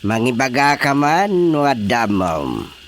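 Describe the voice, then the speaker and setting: An actor playing an earnest husband in a radio drama comedy, speaking with an Ilocano accent. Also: male voice, mid-range voice, very animated, slow, slight background noise. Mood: earnest, eager. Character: husband planning how to help and treat neighbours.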